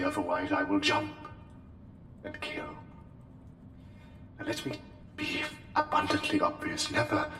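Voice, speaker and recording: A man playing an eerily polite, menacing plague doctor in a formal, haughty ASMR roleplay, with deliberate, halting phrasing. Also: on the low side, exaggerated voice. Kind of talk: slow, intimate ASMR roleplay monologue. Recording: long cavernous reverb, music underneath. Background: music.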